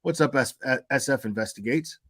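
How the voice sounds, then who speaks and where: Guy doing casual live commentary on a video, blunt and sweary, mid pitched voice. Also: fairly quick, fairly bright tone. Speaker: live stream host reacting to a video.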